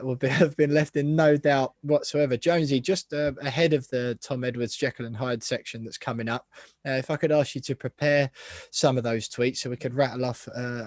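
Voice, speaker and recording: A man hosts a casual football chat in a mid-pitched voice. He is wry and teasing, winding his way through long questions, recorded dry and close. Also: darkish tone, dry recording. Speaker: podcast host.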